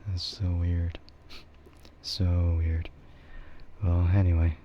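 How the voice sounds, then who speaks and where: A man with a low, dark and mellow voice streams ASMR at an unhurried pace. He rambles casually and softly, often dropping into a half-whisper, on a slightly muffled recording. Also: level tone, a little hiss or hum. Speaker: VTuber livestreamer.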